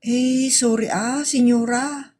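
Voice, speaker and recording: A woman's voice: on the high side, fairly even intonation, slow pace, a little mellow, husky. A woman playing a cheeky, lazy housemaid in a comedy audio drama, perky and exclamatory, with an Ilocano Filipino accent. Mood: sheepish.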